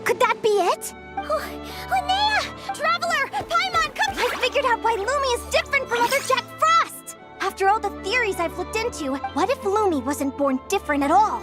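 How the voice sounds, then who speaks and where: An actress voicing a cheerful, curious young woman researcher in a video game, talking in an eager, animated way. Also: very high-pitched, moderate pace, over loud music. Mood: excited.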